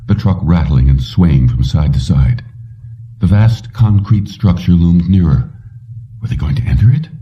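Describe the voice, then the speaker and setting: A man reading a suspense story as an audiobook narrator, in measured, dramatically broken phrases. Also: sits low, distant mic, lo-fi sound.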